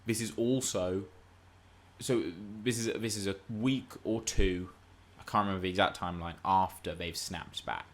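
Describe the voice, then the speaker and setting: A man's voice: low-pitched, steady tone, normal speed. A man co-hosting a podcast, discussing film and TV casually in an emphatic, analytical, argumentative way. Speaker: podcast co-host.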